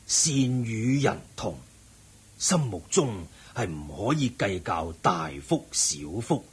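Genial Buddhist sage, radio drama, very husky, low pitch, swooping intonation, measured preaching. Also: male voice, exaggerated voice. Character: learned, genial wealthy lay Buddhist sage. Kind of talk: Cantonese radio drama acting.

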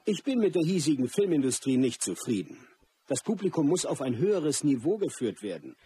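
An actor playing a proud, self-assured martial artist and film star in a radio play, speaking earnestly and deliberately. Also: male voice, fairly high pitch, big pitch swings, mellow, slightly rough, heavily acted. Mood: resolute. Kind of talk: scripted monologue in a radio play. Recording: music behind the voice.

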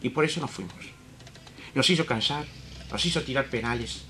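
A man's voice: mid-range voice, a little fast, bright, forceful tone. Male Argentine football pundit, comic and theatrical, repetitive phrasing, mid-range voice, light music bed. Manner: dramatic rhetorical questions, repetitive. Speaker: football analyst guest. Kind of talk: comic sports commentary.

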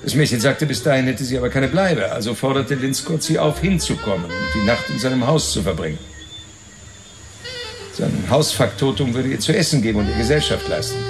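An actor playing a slick, crude, cynical fixer, talking tough and coarse in a noir radio drama. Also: male voice, mid-range voice, vocal fry.

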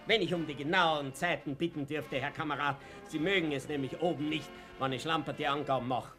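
A man acting a sly Viennese eccentric in a radio play. His voice sits rather high for a man, and his talk is colloquial, unhurried and teasing. Faint music lies under the recording. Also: steady tone, close to an everyday voice. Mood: slyly official. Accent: Viennese Austrian. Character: wry Viennese man, sly, claims to be mad.

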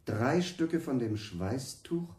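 A man's voice: mid-range voice, lively intonation, slow, a little mellow. A man in a radio play acting a solemn church official who recites an inventory with ceremonial deliberation. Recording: roomy, echoing church-like sound.

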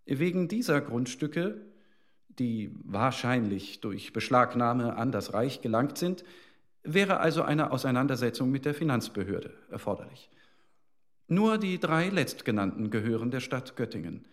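Radio documentary: a factual, sober municipal official, formal reading, mid-range voice. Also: male voice, mid-range voice, big pitch swings, unhurried. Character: sober post-war municipal legal officer reporting findings.

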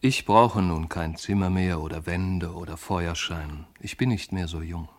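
An actor playing an aging, detached man at peace, speaking a measured, reflective monologue in a literary radio play. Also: low-pitched, steady tone. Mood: serene, reflective.

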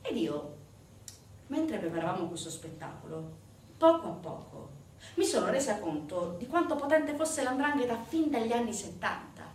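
A woman delivering a theatrical narrative monologue to an audience, emphatic, with dramatic pauses and rhetorical questions. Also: a little high, big pitch swings, darkish tone. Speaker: stage actor performing a monologue. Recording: echoey, distant stage recording with noise.

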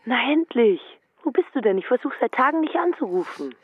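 Phone-filtered insistent woman friend, high, animated pitch, eager, radio drama. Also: a little fast, husky, dry recording. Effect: telephone filter. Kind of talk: German radio drama acting. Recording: thin, band-limited telephone line.

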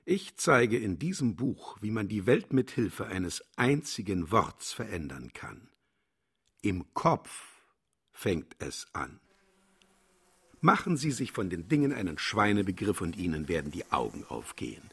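A man's voice: fairly low pitch, very animated, a little slow, a bit bright, breathy edge, heavily acted. A man playing a vain, contemptuous philosopher in a radio play, declaiming and lecturing grandly. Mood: self-satisfied, lecturing. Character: pompous, cynical male philosopher, vain and contemptuous. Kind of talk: German radio play acting.

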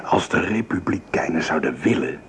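A man's voice: sits low, expressive, moderate pace, slight background noise. A man playing a French army lieutenant in a radio drama, wry and confidential in manner. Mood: uneasy, hushed.

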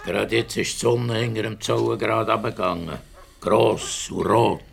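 Creaky-voiced Swiss mountain farmer. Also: male voice, lowish voice, steady tone, normal speed. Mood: calm, earnest. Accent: Swiss German. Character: simple, hopeful mountain farmer, husband and father. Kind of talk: radio play acting.

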